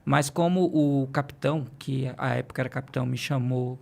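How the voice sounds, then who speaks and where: A man who works as a teacher, a guest on a podcast, telling anecdotes in a brisk way with gentle self-deprecating humor. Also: medium pitch, fairly even intonation, creaky. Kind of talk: casual podcast conversation.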